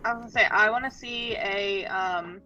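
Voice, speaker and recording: A woman's voice: medium pitch, normal speed, bright, forceful tone, muffled recording. A woman chatting casually as a panelist in a fan discussion. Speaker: podcast panelist.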